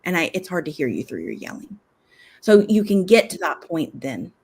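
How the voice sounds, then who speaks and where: A middle-aged woman, a parenting expert, giving conversational advice, animated and laughing easily as she acts out what a parent might say. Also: fairly low pitch, moderate pace, vocal fry, slightly rough.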